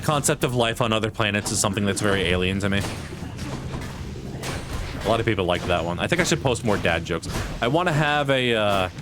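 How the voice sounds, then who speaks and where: A man with a somewhat low voice chats loosely while he plays, circling his points and poking fun at himself. Game music runs clearly under his talk. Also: animated, a little fast. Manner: rambling, many fillers and restarts, self-deprecating. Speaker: streamer. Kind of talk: casual chat while gaming.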